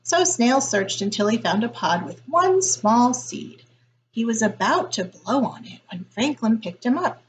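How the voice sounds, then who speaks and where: A woman reading a picture book aloud as a teacher would, in a deliberate, expressive storytelling style. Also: a little high, rough recording.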